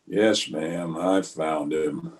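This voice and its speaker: A man acts as a steady western marshal in an old-time radio drama. His voice is on the low side, and he speaks in short, measured lines with a calm, plain manner. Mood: grave, steady. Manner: measured, laconic.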